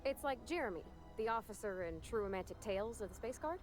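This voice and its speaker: A woman voicing a shy, quick-talking young mechanic. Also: on the high side, animated, over music, lightly acted. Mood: chatty. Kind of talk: video game dialogue.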